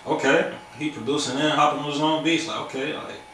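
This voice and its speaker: A young American man with a bright, forceful voice chats as an interview guest. He is slangy and emphatic, repeating phrases. It is recorded off mic in a very echoey space. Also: on the high side, level tone, fairly quick. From Chicago. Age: 20s. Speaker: music producer and rapper, guest. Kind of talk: casual chat. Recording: very echoey, distant mic, faint noise.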